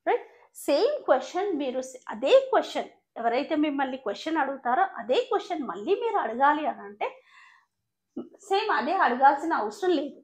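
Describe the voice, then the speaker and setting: A woman with a Telugu accent and Telugu as her first language, teaching English grammar in a quick, chatty way and checking in with her students after nearly every point. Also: sits high, sing-song. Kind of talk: bilingual classroom explanation.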